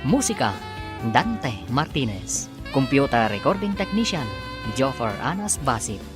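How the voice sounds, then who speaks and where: A man's voice: medium pitch, very animated, unhurried. A man announcing and narrating a radio drama in a theatrical, emphatic, scripted delivery. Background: theme music.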